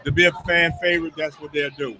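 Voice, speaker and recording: A man's voice: fairly high pitch, flat delivery, fast talker, bright, forceful tone. A man in his forties, a retired wrestler, talking proudly and matter-of-factly about his titles. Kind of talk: casual interview.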